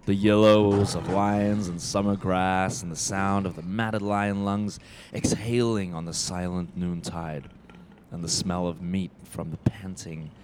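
A man playing the solemn narrator of a radio play, with measured, evocative delivery. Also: low voice, flat delivery, noisy background. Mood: vivid, ominous. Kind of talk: scripted dramatic narration.

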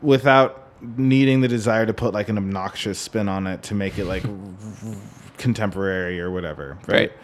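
A man hosting a podcast in casual music discussion, talking in a halting way and peppering it with "like". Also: lowish voice, a little hiss or hum. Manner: halting, hedging, heavy use of "like". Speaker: podcast host.

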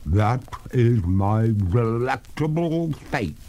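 A man voicing a haughty female water ghost in a storybook, with formal, melodramatic, drawn-out speech. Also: medium pitch, expressive, mellow, voice pushed up.

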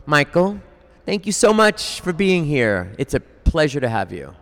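A tired man hosts a podcast, chatty and rambling, poking fun at himself. His voice sits a bit high for a man and echoes in a hall with long reverb. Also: big pitch swings, a little slow, fairly bright tone. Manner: chatty, rambling, self-deprecating. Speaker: podcast host. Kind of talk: casual interview chat.

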